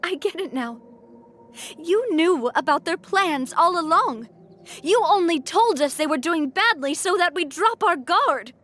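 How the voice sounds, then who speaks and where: An actress playing a young woman gang boss in a video game, earnest and resolute yet wounded, speaking in a measured, deliberate way. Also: extremely high pitch, animated, a bit bright, heavily acted. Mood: shocked realization. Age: young adult. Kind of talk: dramatic video game voice acting.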